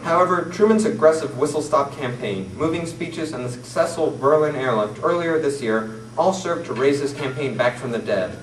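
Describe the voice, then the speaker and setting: A teenage boy reading a mock 1940s newscast from paper at a classroom table, in a formal, steady manner. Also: mid-range voice, moderate pace, few pauses. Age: teens. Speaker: student newsreader. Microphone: far camera mic. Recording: roomy, distant camera audio with faint hiss.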